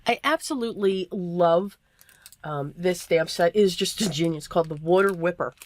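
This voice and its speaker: A woman with a voice worn hoarse by allergies, clearing her throat now and then as she chats casually through a card-making tutorial voiceover, with plenty of fillers and self-deprecating asides. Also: on the low side, normal speed, darkish tone.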